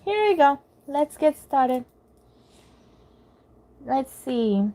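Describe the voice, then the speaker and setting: A woman's voice: high voice, big pitch swings, extremely slow, mellow. A woman whose first language is Spanish, doing a chatty live tarot reading and answering questions from chat as she thinks aloud. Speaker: tarot reader.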